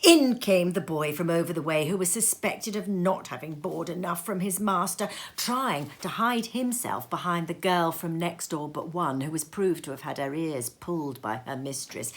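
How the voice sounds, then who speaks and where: Animated, unhurried woman reading a story. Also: on the low side. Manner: animated, dramatic, savours lists. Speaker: storybook reader. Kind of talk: expressive literary narration. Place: sitting room.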